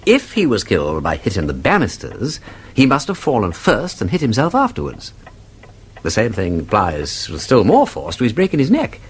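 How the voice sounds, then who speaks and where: An actor playing a witty, inquisitive gentleman sleuth in a radio drama, with an upper-class English accent and an urbane, argumentative, theatrical manner. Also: mid-range voice, wildly swooping pitch, moderate pace, slight background noise. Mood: keen, persistent. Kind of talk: British radio drama acting.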